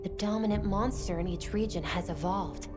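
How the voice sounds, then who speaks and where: A woman playing a confident, steady hunter in a video game, calm, concise and decisive. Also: medium pitch, moderate pace, loud music behind the voice, close to an everyday voice. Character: confident, steady female hunter leading a team. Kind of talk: video game character acting.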